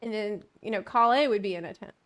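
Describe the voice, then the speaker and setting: A woman's voice: mid-range voice, fast talker, fairly bright tone. A woman streamer giving casual live commentary as she plays a video game. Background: faint game music.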